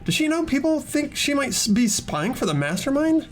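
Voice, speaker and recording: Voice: high-pitched, big pitch swings, moderate pace, a little hiss or hum. A performer voicing an earnest young student protagonist who questions and narrates hesitantly in a fan-voiced visual novel. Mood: thoughtful. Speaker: voice actor in fan game playthrough. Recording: music under the voice.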